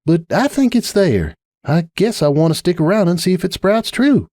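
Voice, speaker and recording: Casting: man playing folksy cartoon farm mare, raised pitch, sincere and steadfast. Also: big pitch swings, moderate pace, darkish tone, no echo, clean studio sound. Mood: earnest. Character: earnest, patient young female farm pony, folksy and steadfast. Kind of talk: dramatic fan fiction reading.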